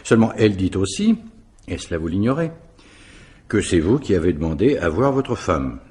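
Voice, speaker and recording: A low, husky male police commissioner in a radio mystery. He is dry and methodical, questioning insinuatingly with lively swings of pitch. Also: moderate pace, exaggerated voice. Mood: probing, dry. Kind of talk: French radio mystery drama acting.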